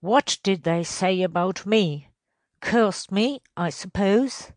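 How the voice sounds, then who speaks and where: A woman voicing a domineering, vindictive male estate superintendent in a storybook reading, questioning loudly and emphatically. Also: lowish voice, lively intonation, takes it slowly, no room sound. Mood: demanding. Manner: loud, emphatic interrogation.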